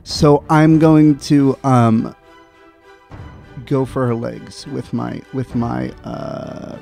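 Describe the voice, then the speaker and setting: A young man in his twenties with a mellow voice plays a tabletop game. He talks casually and a little hesitantly, working out his moves aloud. Music runs behind him. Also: mid-range voice, fairly even intonation, drawn-out words, gravelly. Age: 20s. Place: small indoor podcast studio. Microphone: close studio microphone with foam cover. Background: background music.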